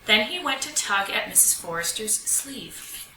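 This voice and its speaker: A woman reading a children's picture book aloud in an expressive storytelling manner. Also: medium pitch, unhurried. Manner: expressive, animated storytelling delivery. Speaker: storybook reader. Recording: roomy echo, distant mic, faint noise.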